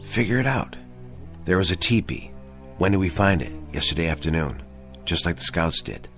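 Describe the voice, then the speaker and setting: An actor playing a grave, commanding army sergeant in a radio drama, intense and deliberate. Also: male voice, low voice, expressive, a bit bright. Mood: grave, brooding. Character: grave, commanding male army sergeant, convinced of an eerie theory. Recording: music bed under radio drama dialogue.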